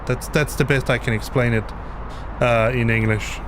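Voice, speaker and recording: A man's voice: medium pitch, steady tone, moderate pace, noisy background. A man whose first language is Danish, explaining something on a live stream and often hesitating, restarting and repeating words. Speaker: flight sim streamer. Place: home basement.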